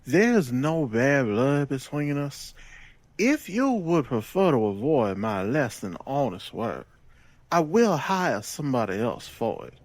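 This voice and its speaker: Give the floor to a courteous, menacing gangster boss played by a man in an audio drama. He speaks slowly in florid, formal phrases, his pitch gliding widely. The sound is close and dry. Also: very animated, no echo, exaggerated voice. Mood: smooth, scheming. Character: smooth, theatrical male gangster boss, mock-courteous.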